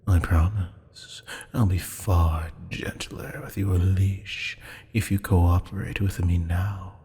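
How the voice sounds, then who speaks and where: A man's voice: low voice, little pitch movement, slow pace, dark tone, slightly rough, exaggerated voice. A man playing a teasing, flirtatious, menacing demon captor in an ASMR roleplay, purring pet names and slipping into half-whispers. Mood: coaxing, menacing. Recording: long hall-like reverb.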